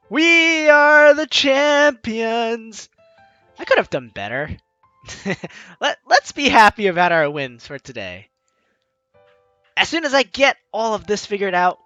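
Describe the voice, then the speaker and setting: Animated high-voiced man reading a game. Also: unhurried, no room sound, dull audio. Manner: animated, joking asides. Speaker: game player reading dialogue aloud. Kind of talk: let's play narration. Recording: game music behind.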